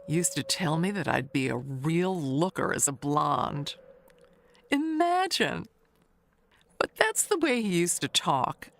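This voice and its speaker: An actress plays a gossipy older woman chatting about the neighbors in an audio mystery tale. Her pitch rises and dips expressively as she takes her time, halting and confiding with sly wistfulness. Faint noise sits behind the voice. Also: very animated, exaggerated voice. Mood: coy, flattered.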